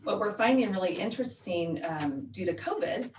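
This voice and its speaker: A woman's voice: medium pitch, lively intonation, moderate pace. A woman native to Oregon, an escrow officer, answering interview questions in a friendly, explanatory way. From Oregon, USA. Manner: friendly, explanatory, laughs easily. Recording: roomy sound, mic at a distance, faint noise.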